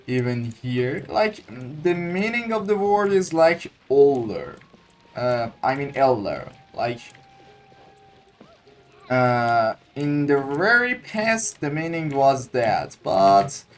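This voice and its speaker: A man giving casual game commentary, halting as he searches for words, with game music behind him. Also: mid-range voice, very animated. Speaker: gaming streamer.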